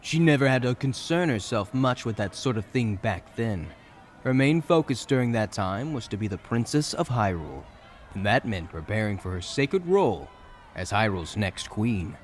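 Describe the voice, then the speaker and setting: A man's voice: lowish voice, very animated, moderate pace, slight background noise. A man narrating a scripted fantasy audio drama in a dramatic storytelling style. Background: music.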